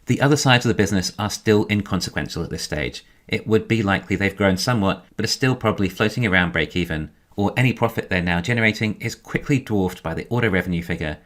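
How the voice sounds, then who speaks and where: A man giving a scripted financial commentary in a measured, explanatory way. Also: fairly low pitch, fairly bright tone. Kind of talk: scripted narration, financial analysis.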